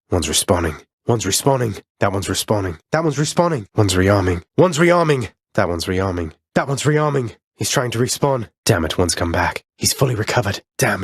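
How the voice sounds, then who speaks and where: Young underworld prince, video game hero, low voice, polite, wry, determined, dry studio sound. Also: male voice, breathy edge, no echo. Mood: battle-ready, urgent. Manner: courteous, dry wit, often says sir. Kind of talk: scripted video game voice lines.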